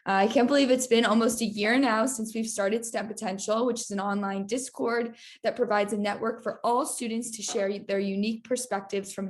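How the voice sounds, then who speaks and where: A young woman moderating an online webinar, giving a scripted, formal welcome and introductions over a video call. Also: medium pitch. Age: young adult.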